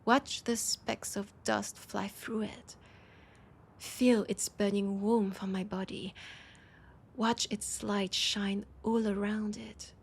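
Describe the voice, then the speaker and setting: A woman with a French accent playing an ancient, proud and wistful vampire veteran in an intimate audio roleplay, with a husky, breathy voice and lingering pauses. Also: medium pitch, little pitch movement, full character voice. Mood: wistful, yearning. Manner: slow, sighing, lingering pauses.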